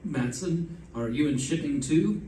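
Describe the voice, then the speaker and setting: An actor playing a grieving, reserved gentleman from a wealthy family in a radio drama, polite and formal. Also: a little high, lively intonation, unhurried. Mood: subdued, guarded. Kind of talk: old-time radio drama acting. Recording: roomy, distant stage recording.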